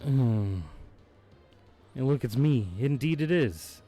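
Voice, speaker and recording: A man streaming a video game, hoarse from losing his voice, chatting in a laid-back, rambling way with frequent chuckles, close on his microphone. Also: mid-range voice, animated, takes it slowly, warm tone, faint music behind. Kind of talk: casual live-stream chat. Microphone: close desk microphone.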